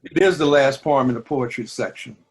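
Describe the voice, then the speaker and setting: A man, speaking in a measured, deliberate way with pauses, discussing and reading poetry. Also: medium pitch, breathy edge, low-quality recording. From New Orleans, United States. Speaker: book editor and poetry reader.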